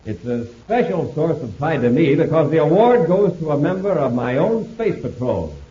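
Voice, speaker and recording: Crisp commanding space patrol chief, old radio show, sounds roomy and muffled. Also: male voice, fairly high pitch, sing-song, moderate pace, mellow. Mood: formal. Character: brisk heroic male space patrol commander. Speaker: actor. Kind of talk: 1950s radio drama acting. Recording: dull old radio recording, echoey.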